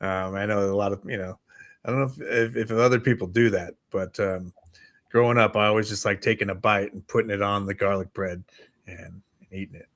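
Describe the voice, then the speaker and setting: A man's voice: lowish voice, steady tone, brisk, fairly bright tone. An American man co-hosting a casual morning podcast in an easygoing, conversational way. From United States. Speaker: talk show co-host.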